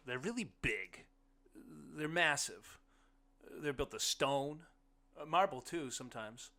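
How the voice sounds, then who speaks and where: A man's voice: a little high, animated, slow pace, vocal fry, sharp tone, voice pushed up, heavily acted. A man playing an awkward, unsure ordinary man in an audiobook, hesitant and searching for words as he explains. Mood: fumbling, earnest. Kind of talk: audiobook character dialogue.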